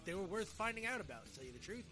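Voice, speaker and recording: A man's voice: high voice, very animated, very fast, music in the background. A middle-aged man hosting a podcast in casual chat, rambling and often repeating his words. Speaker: podcast host.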